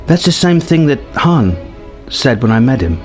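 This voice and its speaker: A man voicing an earnest, awestruck young woman apprentice who speaks haltingly in a space-adventure story. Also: medium pitch, sing-song, darkish tone, faint music behind, natural voice. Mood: awed, tearful.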